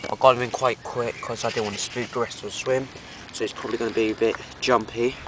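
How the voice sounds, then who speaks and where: A man's voice: mid-range voice, fast talker, distant mic, noisy background. A young man plainly describing the fish he has caught, filmed outdoors by a pond on a handheld camera. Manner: flat, plain, describes his catch. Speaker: angler vlogger. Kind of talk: casual fishing commentary.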